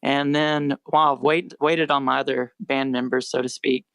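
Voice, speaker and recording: A quiet-voiced young American man and musician, chatting in a relaxed, rambling way as a podcast guest. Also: mid-range voice, moderate pace, creaky, clean tone, no echo. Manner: rambling, self-correcting, relaxed. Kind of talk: casual podcast interview.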